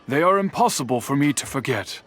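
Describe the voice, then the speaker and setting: A man voicing an older master craftsman in a video game, formal and deliberate, stern yet kindly. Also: mid-range voice, animated, a bit bright, a little hiss or hum, close to an everyday voice. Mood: calm, formal. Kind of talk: anime-style video game voice acting.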